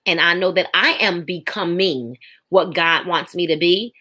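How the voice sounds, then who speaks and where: A woman's voice: fairly low pitch, measured pace, pushed and bright. A woman in her forties giving a faith testimony as a podcast guest, emphatic, with a preaching rhythm and repeated phrases. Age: 40s.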